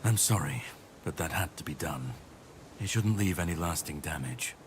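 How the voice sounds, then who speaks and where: An actor playing a grim, world-weary warrior on a mission in a video game, speaking in a grave, measured way. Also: male voice, a bass voice, animated. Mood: grave, resolute. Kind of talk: dramatic video game dialogue acting. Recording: clear background noise and ambience.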